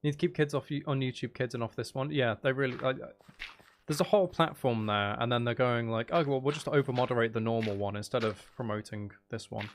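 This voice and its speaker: A man's voice: mid-range voice, fast talker, slight background noise. A man hosting a livestream, chatting casually in a sarcastic, exasperated ranting manner. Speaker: livestream host.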